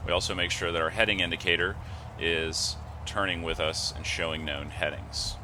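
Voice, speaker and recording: A man's voice: low-pitched, steady tone, normal speed, background noise. A man giving methodical, instructional step-by-step flight simulator commentary, reading off checklist items. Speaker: host of a flight simulator video series.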